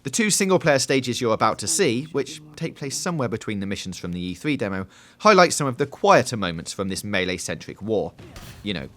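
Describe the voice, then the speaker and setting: A man's voice: fairly low pitch, very animated, a little fast, a bit bright. A man reading a scripted video game preview voice-over in a polished, emphatic presenter style.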